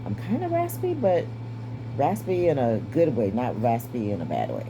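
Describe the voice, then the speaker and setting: A woman in her fifties with a raspy, strained voice and short breath, giving a candid personal update. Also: rumbling low, huge pitch swings, moderate pace, noisy background. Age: 50s. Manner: candid, wry, stays positive. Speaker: vlogger. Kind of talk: casual personal update to camera.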